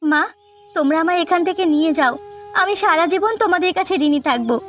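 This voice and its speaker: A woman's voice: extremely high pitch, lively intonation, fast talker. A woman voicing a wronged young widowed daughter-in-law in a cartoon family drama, earnest and firm. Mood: pleading. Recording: background music.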